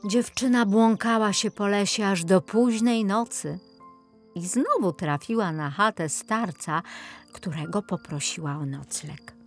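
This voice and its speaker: A woman's voice: mid-range voice, unhurried, soft background music. A woman reading a children's fairy tale as a scripted audiobook narration.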